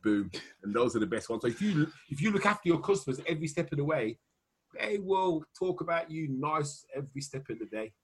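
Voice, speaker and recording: A man's voice: fairly high pitch, lively intonation, a little fast, slightly rough. A man with a Welsh accent talking business in a casual video call, chatty, laughing often and dropping punchy asides. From Wales. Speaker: marketing consultant.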